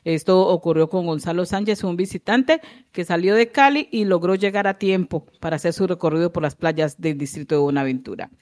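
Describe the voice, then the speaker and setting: News reporter on local radio doing time checks, steady voice. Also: medium pitch, brisk. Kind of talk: news broadcast.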